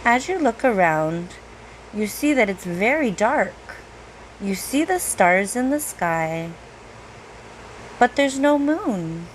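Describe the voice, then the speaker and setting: A woman leading a guided meditation in a gentle, unhurried manner, leaving long pauses between phrases. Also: mid-range voice, very animated, slight background noise, rough recording. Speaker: meditation guide, reiki teacher. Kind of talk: guided meditation, slow soothing narration.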